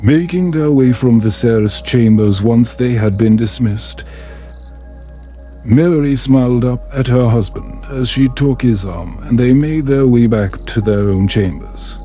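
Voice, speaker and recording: A man narrating a bedtime story in a calm, soothing, measured manner. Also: mid-range voice, faint music behind.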